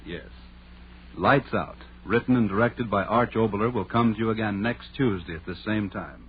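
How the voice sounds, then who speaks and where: A man announcing formally and deliberately in the style of old-time radio. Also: lowish voice. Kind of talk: 1930s-40s radio announcing. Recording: tinny, hissy old radio broadcast.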